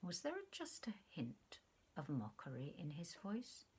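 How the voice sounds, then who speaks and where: Woman reading a short story out loud, dramatic, goes hushed and breathy a lot. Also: low-pitched, very animated, measured pace. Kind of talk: literary audiobook narration.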